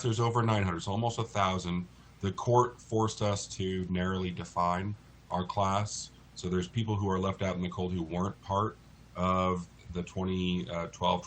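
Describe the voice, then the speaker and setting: An American man speaking as an interview guest, explaining things in a measured, articulate way. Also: low voice, a bit bright, a little hiss or hum. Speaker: interview guest, lawyer in a class action.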